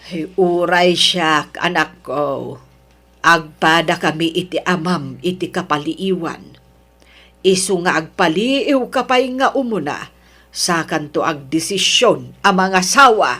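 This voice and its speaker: A woman's voice: on the low side, expressive, slow, a bit bright, exaggerated voice. A woman playing a middle-aged village mother, hospitable yet watchful, in an audio drama with a Filipino accent. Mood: doubtful, measured. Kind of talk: Ilocano audio drama acting.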